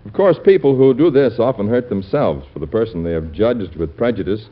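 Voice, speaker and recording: A man's voice: fairly low pitch, animated, brisk. A man reading a scripted public service message as a radio announcer. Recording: dull, band-limited old radio recording.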